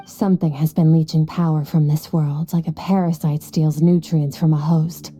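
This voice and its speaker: A woman's voice: deep voice, level tone, moderate pace, mellow. A woman playing a cold, aloof and powerful young female warrior in a video game, curt, detached and condescending. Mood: grave, detached.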